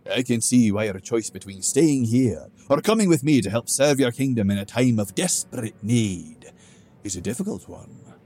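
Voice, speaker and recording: A grave, dry-humored dwarf companion, as a man puts him on. He coaxes patiently and keeps his voice steady. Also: medium pitch, moderate pace, voice pushed up, close to an everyday voice. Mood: coaxing. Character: calm, persuasive male dwarf companion.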